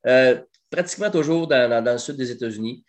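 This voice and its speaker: A man in his forties with a Québécois French accent, a guest talking about his barbecue company in a casual interview, fluent and lively with plenty of hesitations. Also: medium pitch, brisk. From Quebec. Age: 40s. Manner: animated, fluent, frequent "euh" and hesitations.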